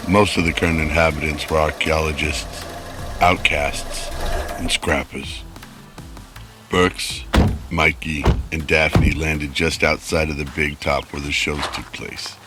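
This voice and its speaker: Male narrator for radio drama; extremely low voice, scripted storytelling over clear music. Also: rough recording.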